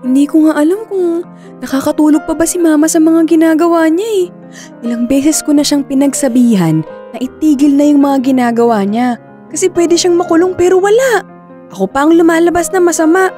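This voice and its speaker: Concerned young daughter for radio drama, played by a woman: high-pitched, soft-toned, earnest, principled. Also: a little fast, soft background music, close to an everyday voice. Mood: frustrated, earnest. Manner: earnest, questioning.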